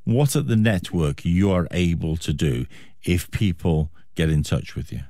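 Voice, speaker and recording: A man's voice: low-pitched, lively intonation, measured pace, mellow, no echo, clean studio sound. A man with a British accent hosting a radio interview, phrasing his questions with emphasis.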